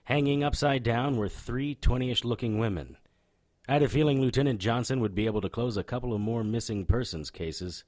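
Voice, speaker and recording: An actor playing a hard-boiled occult private investigator in a noir audio drama, with a deadpan, wry manner. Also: male voice, medium pitch, fairly quick, close to an everyday voice. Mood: deadpan, brooding. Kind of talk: noir radio drama acting with first-person narration.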